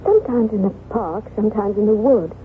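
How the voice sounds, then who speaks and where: An actress playing a gentle, haunted young French wife, speaking formally and wistfully in a 1970s radio drama. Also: female voice, mid-range voice, a little fast, natural voice. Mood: haunted, insistent. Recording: tinny old radio, faint noise.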